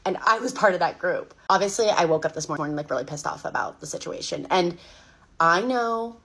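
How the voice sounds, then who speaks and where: Lowish-voiced woman ranting fast about getting let go, frustrated and repetitive. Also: fairly bright tone, breathy edge. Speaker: laid-off worker. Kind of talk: venting vlog monologue.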